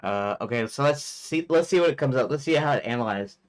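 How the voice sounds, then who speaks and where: A man's voice: mid-range voice, lively intonation, fairly quick. A man in his forties, a game developer chatting casually on a live stream, rambling with many fillers and restarts. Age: 40s.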